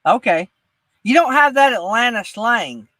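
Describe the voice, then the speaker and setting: High-pitched Southern man hosting a chat. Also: lively intonation, measured pace, bright, forceful tone. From northeast Alabama, United States. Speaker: host of a livestream chat show. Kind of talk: casual chat.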